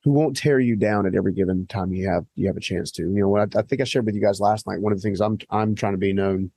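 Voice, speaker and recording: Gravelly, husky male podcast host. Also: fairly low pitch, fast, mellow, no echo. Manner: earnest, talks in long lists with many fillers. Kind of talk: conversational interview. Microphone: studio microphone.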